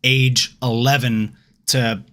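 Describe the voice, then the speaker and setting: A man's voice: mid-range voice, level tone, takes it slowly, a bit bright. A man hosting a casual chat show about video game films, joking around in loose slang. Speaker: show host.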